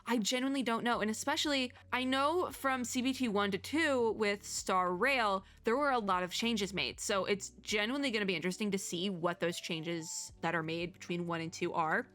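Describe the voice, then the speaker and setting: A woman game video host chatting casually and excitedly, rambling as she thinks out loud. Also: medium pitch, normal speed, hardly any pauses. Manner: excited, gushing. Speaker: host of a gaming reaction video. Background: music.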